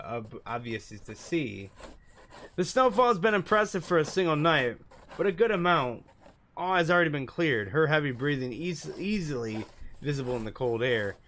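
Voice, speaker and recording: A man's voice: medium pitch, big pitch swings, normal speed, fairly bright tone, a little hiss or hum. A man doing let's play commentary and reading game text aloud, sometimes stumbling over the words. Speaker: gaming YouTuber. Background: background music.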